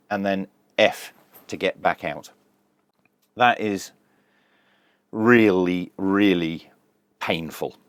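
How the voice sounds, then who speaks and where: A man's voice: lowish voice, dry recording. A man presenting a casual hands-on product review, plain-spoken and methodical, with dry humour.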